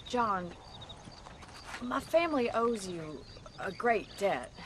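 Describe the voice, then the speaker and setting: A woman's voice: medium pitch, sing-song, slow. A woman playing a proud, spirited young ranch daughter with an American accent, earnest and proper, in a western video game. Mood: grateful, awkward. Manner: earnest, proper, strong-willed. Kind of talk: video game cutscene acting. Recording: outdoor ambience, clear background noise.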